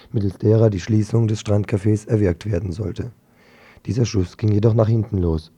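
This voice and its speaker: Very dark-voiced, husky man reading news. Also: fairly low pitch. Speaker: radio news presenter. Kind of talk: scripted political radio report.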